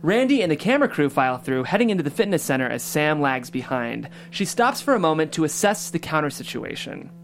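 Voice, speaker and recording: A man's voice: on the high side, sing-song, a little fast, few pauses, a little hiss or hum. A man reading scripted stage directions as the narrator of a table read.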